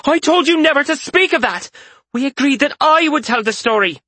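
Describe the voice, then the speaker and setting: A man performs a fierce noble woman in a storybook reading. She shrieks and scolds in a high, strained voice, and it sounds dry and close. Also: animated, brisk, no room sound, full character voice. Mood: furious. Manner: shrill, scolding, shouting. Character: furious noble warrior woman, proud.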